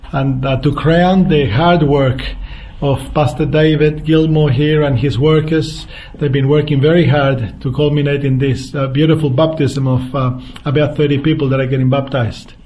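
A man's voice: on the high side, fairly even intonation, normal speed, a little mellow. A man in his fifties with a Chilean Spanish accent, a guest evangelist answering interview questions earnestly and unhurriedly, with frequent restarts. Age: 50s.

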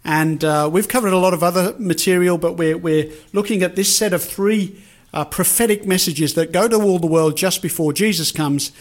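Radio host, higher voice. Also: male voice, moderate pace. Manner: relaxed, rambling with fillers.

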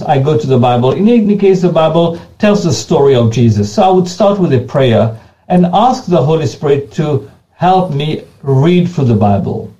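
A man's voice: on the high side, mellow, muffled recording. A man whose first language is Creole, answering questions in earnest and often repeating and restarting words as he goes. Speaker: pastor being interviewed. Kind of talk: unscripted interview answers.

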